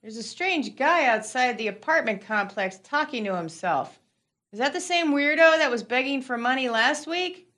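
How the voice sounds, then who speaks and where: A familiar, breezy neighbor in an audio drama. Her voice is fairly high and lively in pitch, with a casual, teasing manner. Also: moderate pace.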